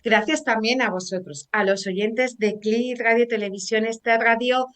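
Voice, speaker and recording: Una mujer con acento de España presentando en directo un programa de radio, charlando con soltura y muchas muletillas. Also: female voice, on the high side, fairly even intonation, moderate pace. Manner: chatty and warm, frequent fillers like "eh" and "pues bueno". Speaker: radio show host. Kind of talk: live radio talk show hosting.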